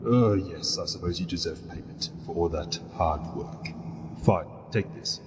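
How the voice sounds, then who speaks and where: Dismissive fantasy scholar, low voice, condescending, grudging, game dialogue, long hall reverb, faint noise. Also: male voice, measured pace. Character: grudging, dismissive male researcher paying adventurers. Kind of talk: fantasy video game character acting.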